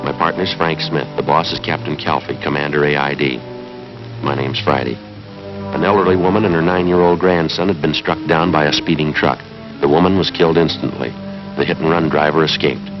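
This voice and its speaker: A man's voice: rumbling low, fairly even intonation, fairly quick, music in the background. A man in his thirties playing a terse, matter-of-fact police detective in clipped 1950s procedural style. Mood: businesslike, deadpan. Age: 30s. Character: terse, businesslike male police detective narrating his case. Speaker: detective and narrator. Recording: dull 1950s film soundtrack.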